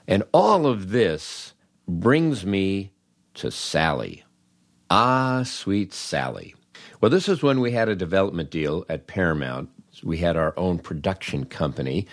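An older American man hosting a humorous storytelling monologue, wry and animated, acting out dialogue with dramatic pauses. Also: low-pitched, no room sound, studio quality. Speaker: podcast host, comedy writer.

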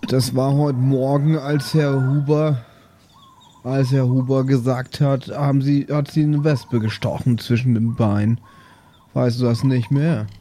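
A man's voice: medium pitch, level tone, measured pace, warm tone, a little hiss or hum. A man role-playing in an improvised game, speaking in a sleepy, hesitant drawl. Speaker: player in a pen and paper audio show. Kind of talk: improvised in-character role play.

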